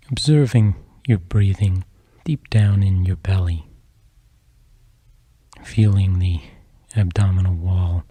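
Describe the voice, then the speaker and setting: A man leads a guided meditation in a deeply mellow, relaxed voice. He speaks softly in measured phrases, leaving long pauses between them. The tone is husky and the sound is close and dry. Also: dry recording. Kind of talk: slow guided meditation narration.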